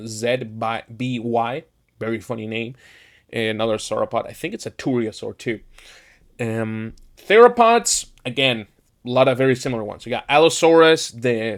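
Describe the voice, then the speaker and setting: A man talks through a ranking list in a casual, unscripted way. He rambles with plenty of filler phrases, sounding enthusiastic about his subject. His voice sits in an ordinary middle range. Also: medium pitch. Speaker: tier-list host.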